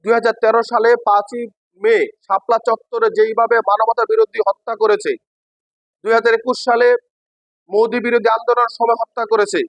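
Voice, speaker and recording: A man's voice: extremely high pitch, little pitch movement, a little fast, no echo, poor audio. A man from Bangladesh with a Bangladeshi accent making an impassioned, emphatic political statement as an expatriate. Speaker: expatriate giving his opinion.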